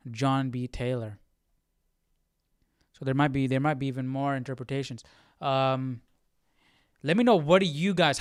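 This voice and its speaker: A man's voice: mid-range voice, no room sound, clean studio sound. A man hosting a live study stream, talking casually and thinking aloud in a hesitant, musing way as he searches for words.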